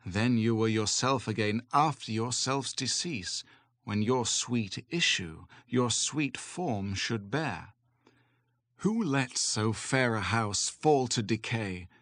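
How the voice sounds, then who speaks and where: A man performs a dramatic recital of classic poetry. He takes it slowly, at a medium male pitch, and the recording has very little room sound. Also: a bit bright, no room sound. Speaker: poetry reader.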